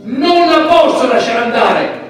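An actor playing a stern, self-righteous judge who declaims and accuses in a theatrical courtroom drama. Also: male voice, extremely high pitch, lively intonation, slow pace, soft background music, heavily acted. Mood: condemning. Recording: very echoey stage, far from the mic.

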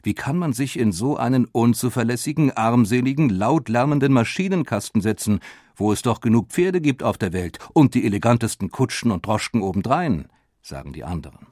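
A man playing a motoring pioneer who recalls public mockery with wry drama, in measured, expressive storytelling for an audio documentary. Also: mid-range voice, no room sound, studio quality, natural voice. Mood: mocking, indignant. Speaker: voice actor reading historical eyewitness accounts. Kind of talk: dramatized scripted reading of a memoir.

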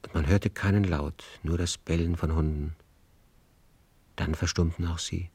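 A man narrating a literary radio play as a measured storyteller. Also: sits low, steady tone, darkish tone, husky, no room sound.

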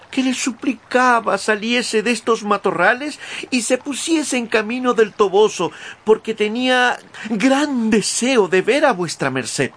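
An actor playing a simple, greedy peasant squire in a radio drama, husky and rustic in his blunt talk. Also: sits high, fairly bright tone, full character voice. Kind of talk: Spanish-language radio drama acting.

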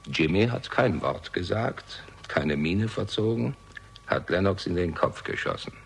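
A man's voice: deep voice, lively intonation, measured pace, vocal fry, breathy edge, heavily acted. A man playing a bitter, cynical war veteran in a German radio crime drama, speaking in a curt, sardonic way. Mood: grim, bitter.

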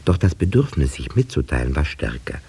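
A deep, lively-pitched male narrator, a village schoolteacher in a fifties radio play. He sets out every detail with care and dry irony, in a mellow, slightly husky voice. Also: exaggerated voice. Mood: dryly amused. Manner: measured, circumstantial, dryly ironic, precise. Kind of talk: 1950s German radio drama narration.

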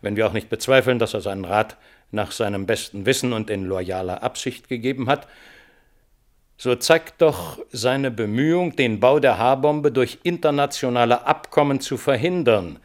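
An actor playing a stern board chairman, sober and deliberate, in the formal style of a German radio drama. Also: medium pitch, close to an everyday voice. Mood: sober, severe. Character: stern, official chairman of a security hearing board.

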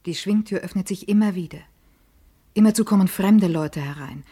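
Detached, observant woman played by an actress, mellow and husky, measured literary radio drama monologue. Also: medium pitch, close to an everyday voice. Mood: pensive.

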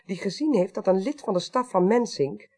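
A woman playing an assertive, sharp-witted investigator in a Dutch radio drama, speaking precisely and cutting others short. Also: medium pitch, animated, moderate pace. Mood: methodical, firm. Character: assertive, sharp-witted female police investigator, brisk and insistent.

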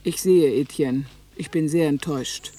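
An actor playing a controlling doctor in a radio drama, measured and authoritative with his patient. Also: fairly high pitch, dark tone, far from the mic. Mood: firm.

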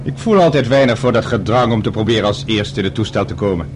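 A man acting a smooth-talking, courteous older doctor in a Dutch radio drama, formal and solicitous. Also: lowish voice, quick pace, lightly acted. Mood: polite concern. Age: middle-aged or older. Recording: old radio drama with clear background noise.

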